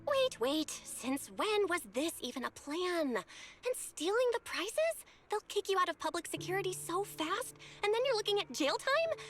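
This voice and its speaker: A woman's voice: extremely high pitch, very animated, moderate pace, a little hiss or hum. A woman voicing an earnest, determined young woman proxy who speaks with an anxious sincerity, in a game dub. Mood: alarmed.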